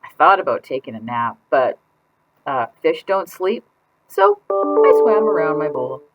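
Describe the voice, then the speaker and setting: A woman's voice: low-pitched, very animated, measured pace. A woman reading a children's picture book aloud as a put-upon goldfish keeping a diary, with exaggerated, exasperated emphasis. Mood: weary, deadpan. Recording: dull lo-fi sound.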